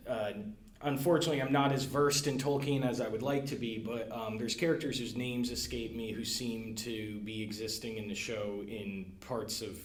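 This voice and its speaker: A man's voice: medium pitch, steady tone, moderate pace. Hesitant man talking in an echoey room. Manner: hesitant, lots of ums and hedging. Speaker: podcast guest, librarian. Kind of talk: casual chat.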